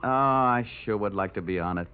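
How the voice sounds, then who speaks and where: An American actor playing a rugged, wisecracking insurance investigator in a vintage radio drama, wry and hard-boiled. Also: male voice, fairly low pitch, moderate pace, clean tone, lightly acted. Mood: smooth, flirtatious. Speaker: lead actor and narrator. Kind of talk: 1940s-50s radio detective acting. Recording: muffled vintage radio recording with music.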